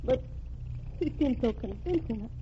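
A woman's voice: a little high, animated, unhurried. An actress playing a distraught young woman in a 1940s radio drama, pleading and bewildered. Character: distraught young woman, newspaper man's sweetheart. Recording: muffled old radio transcription with hiss.

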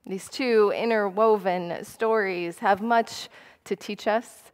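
American woman pastor preaching, really slow and deliberate, big reverby church. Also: medium pitch. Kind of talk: sermon. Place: church pulpit indoors.

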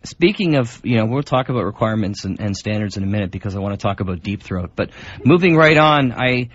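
A man's voice: on the low side, expressive, fast. A man hosting community radio with rambling, jokey, unscripted banter. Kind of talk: casual unscripted co-host banter. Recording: dull lo-fi radio recording.

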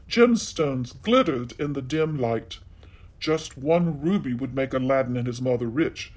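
A man storyteller reading a children's tale aloud in an animated narrating style. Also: mid-range voice, breathy edge.

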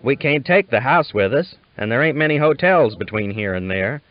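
A man's voice: mid-range voice, lively intonation, moderate pace, no echo, pitched above the performer's own voice, close to an everyday voice. A man voicing a stern, practical, impatient trail boss who speaks tersely in an audiobook. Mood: exasperated. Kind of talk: audiobook character dialogue. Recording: dull lo-fi audiobook recording.

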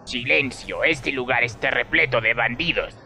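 A man's voice: mid-range voice, lively intonation, normal speed, creaky, bright, forceful tone, faint music behind, heavily acted. A man with a Latin American accent playing a stern, wary, protective older con man mentor in a game fan dub. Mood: stern, cautious.

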